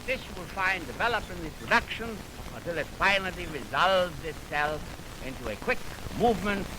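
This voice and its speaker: Crackly vintage radio aircheck, heavy noise, higher-pitched man, formal music lecturer, oratorical, measured phrasing. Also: lively intonation. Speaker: radio host introducing orchestral music. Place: radio broadcast. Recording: noisy, crackly 1920s optical film radio aircheck.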